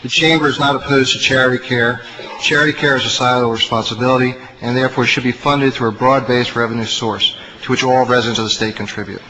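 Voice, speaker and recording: A man's voice: medium pitch, fairly even intonation, quick pace, a crowd in the background, low-quality recording. A man delivering a prepared statement as a witness at a legislative hearing. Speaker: business chamber representative testifying. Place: legislative committee hearing room. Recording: hearing audio with faint noise.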